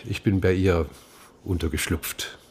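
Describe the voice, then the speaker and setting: An actor playing an earnest, slightly sheepish bookseller in a radio crime drama, speaking in a hesitant, explanatory way. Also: male voice, low voice, steady tone, a little slow, darkish tone, slight background noise. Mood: sheepish, eager.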